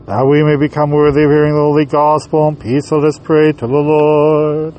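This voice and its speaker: A man leading a church service as priest, chanting prayers in a slow, drawn-out way and then reciting the gospel more briskly. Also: fairly high pitch, monotone, highs cut off. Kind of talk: chanted liturgy and gospel reading.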